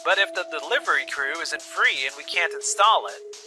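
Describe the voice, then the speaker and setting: A man plays a skilled contractor in a manga dub, earnest and fed up. He argues firmly and reasonably in a lively voice, over faint music. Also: a little high, normal speed, lightly acted. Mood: firm, frustrated. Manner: earnest, firm, reproachful. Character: conscientious, frustrated skilled male installation contractor, firm and reasonable. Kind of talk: scripted manga dub voice acting.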